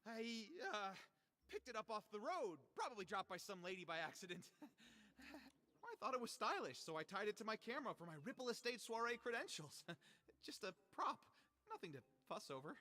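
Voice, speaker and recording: Animated game role: bright, high-voiced young reporter, flustered and excuse-making, swooping intonation. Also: male voice, normal speed, long reverb tail, full character voice. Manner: theatrical, stammering, hesitant excuses. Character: flustered, excuse-making young male columnist, sheepish and eager. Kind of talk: animated video game voice acting.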